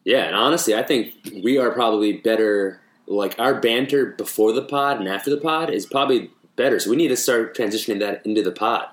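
A man's voice: on the low side, brisk, hardly any pauses. A man in his thirties, a comedian co-hosting a podcast, chatting playfully and riffing with puns. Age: 30s. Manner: playful, riffs and makes puns. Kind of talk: casual podcast chat. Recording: echoey room.

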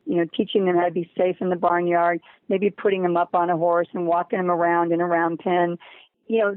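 A woman's voice: on the low side, no echo. An American woman speaking emphatically as an interview guest, explaining a program and repeating words for stress. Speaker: guest, head of a horse-riding charity. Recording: narrowband phone-like line.

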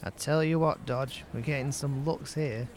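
A man's voice: medium pitch, steady tone, moderate pace, slight background noise, lightly acted. A man playing a sly, bossy, cheeky schoolboy in an amateur audio drama. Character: Pete, scheming cheeky schoolboy pal in trunks.

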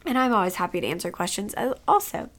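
A woman's voice: medium pitch, sing-song, fast talker. A woman hosting a podcast who has a cold. She talks in an upbeat, chatty way, with fillers like "uh" and "like". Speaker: podcast host. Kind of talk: podcast intro and announcements.

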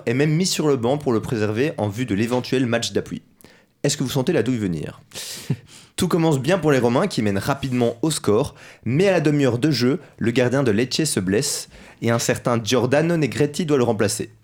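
A man reading his football column on a radio show, telling it as a lively story with asides to the listeners. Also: medium pitch.

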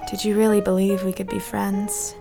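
An actress plays a bitter housewife who likes her drink. Her mid-pitched voice is curt, cynical and cutting, in period audio drama style over faint music. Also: level tone, moderate pace, vocal fry, natural voice. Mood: scornful. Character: bitter, sardonic, hard-drinking kept-away housewife.

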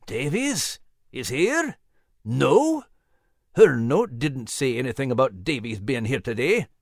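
A man's voice: medium pitch, sing-song, slow pace, vocal fry, dry recording, studio quality, exaggerated voice. A man voicing a stern, skeptical middle-aged clergyman in a storybook, with a cool, huffy, pointed manner. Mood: suspicious.

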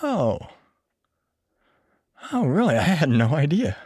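A man's voice: on the high side, very animated, slow pace, darkish tone, slightly rough, no room sound, studio quality, exaggerated voice. A man with an American accent playing an easygoing, eager, friendly old classmate, talking in slangy bro style in an audio drama. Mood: curious. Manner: laid-back slangy bro talk. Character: easygoing, eager, friendly male former high school classmate. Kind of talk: casual, naturalistic audio drama acting.

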